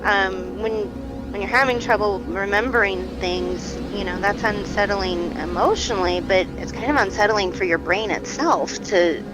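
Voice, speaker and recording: Reassuring woman therapist played by an actress, mid-pitched. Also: moderate pace, over loud music, lightly acted, low-quality recording. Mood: explanatory, encouraging. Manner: gentle, encouraging, explanatory. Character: supportive, reassuring female brain-injury therapist. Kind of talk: naturalistic audio drama dialogue.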